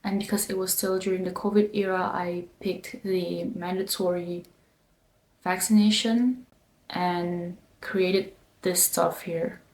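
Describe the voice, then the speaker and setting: A young woman, not a native English speaker, talking casually as a design student vlogger, relaxed and full of fillers. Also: fairly low pitch, takes it slowly. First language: not English, not German. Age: young adult. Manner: relaxed, filler-heavy, with frequent likes and ums. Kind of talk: casual explanatory vlog. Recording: echoey room, faint background noise.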